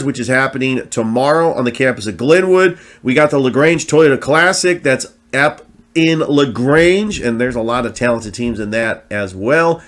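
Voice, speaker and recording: A man hosting a sports talk show, speaking energetically and conversationally with fillers and restarts, his voice still recovering from having been lost. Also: medium pitch.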